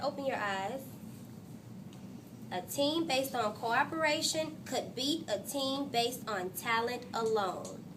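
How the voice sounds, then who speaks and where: A woman teacher reading a storybook to her class and pausing to ask coaxing, instructive questions. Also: sits high, background noise. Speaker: third-grade teacher. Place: classroom with children answering.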